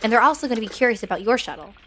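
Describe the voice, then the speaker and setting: A woman's voice: a little high, expressive, racing, noisy background, natural voice. A woman playing a determined, headstrong young crew leader in a sci-fi audio drama, direct and insistent. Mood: uneasy, planning.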